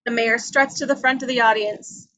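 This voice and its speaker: High-voiced woman reading screenplay directions. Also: steady tone, normal speed, pushed and bright, poor audio. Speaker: reader of action descriptions. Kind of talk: scripted narration of screenplay directions.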